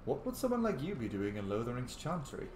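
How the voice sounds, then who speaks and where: A man hosts a game playthrough, chatting casually and voicing dialogue choices with animated asides. His middle-pitched voice echoes in the room over faint game ambience. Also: fast talker, slight background noise. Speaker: gaming host, role-player. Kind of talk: casual role-play game commentary, reading dialogue choices aloud.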